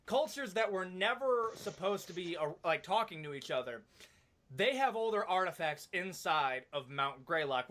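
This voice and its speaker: A man's voice: sits high, pushed and bright. A man giving casual, opinionated video commentary in an emphatic, slangy, conversational way.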